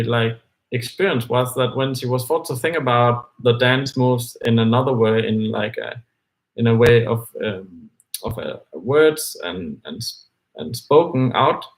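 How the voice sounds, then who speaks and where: Man musing in halting English. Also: mid-range voice, low-quality recording. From Denmark. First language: Danish. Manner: hesitant, thinking aloud with many fillers and restarts. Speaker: co-host of a live book discussion. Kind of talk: casual discussion.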